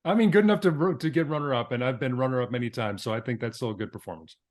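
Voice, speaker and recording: A man hosting a casual podcast interview, speaking in a halting, piecemeal way. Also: medium pitch, a little mellow.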